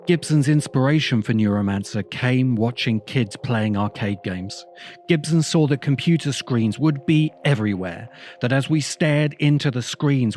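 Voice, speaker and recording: A man reading a scripted video essay in an earnest, measured, literary manner. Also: mid-range voice, a little mellow, slight background noise. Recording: music bed under the voice. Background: background music.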